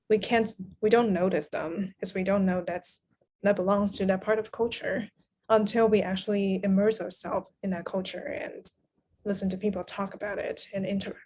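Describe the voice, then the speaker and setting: A woman's voice: medium pitch, darkish tone, slight background noise. A woman from Taiwan, a guest on a podcast, speaking thoughtfully in casual conversation. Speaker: guest translator.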